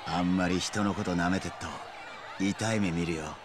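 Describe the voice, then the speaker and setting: Man playing a brash, low-voiced young gangster. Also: steady tone, moderate pace, close to an everyday voice. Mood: defiant. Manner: brash, informal, defiant. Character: cocky, hot-headed young male yakuza underling. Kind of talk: video game cutscene acting. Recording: noisy crowd in the background.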